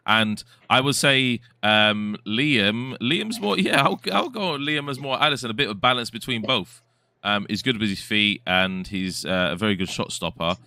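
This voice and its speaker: A chatty man hosts a live futsal stream, reading out viewer comments between remarks. His voice is very deep, bright and crisp. The sound is close and dry. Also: lively intonation, normal speed, no room sound. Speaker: host and co-commentator.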